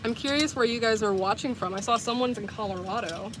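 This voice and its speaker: A woman's voice: fairly high pitch, a little fast, background noise. A woman in her twenties from the US South, chatting casually with livestream viewers, rambling with lots of "like" and self-corrections. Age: 20s. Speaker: vlogger and livestreamer.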